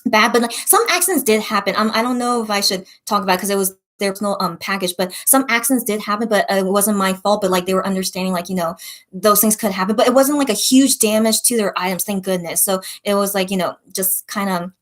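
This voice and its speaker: A young woman from the United States chatting casually while reading viewer comments, rambling with many fillers and restarts and often trailing off softly. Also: mid-range voice, fast talker. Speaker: online shop owner reading viewer comments. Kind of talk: casual livestream-style chat.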